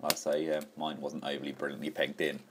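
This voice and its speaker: A man's voice: rumbling low, little pitch movement, quick pace, background noise. An enthusiastic man chatting casually through a toy review, full of fillers and restarts. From England.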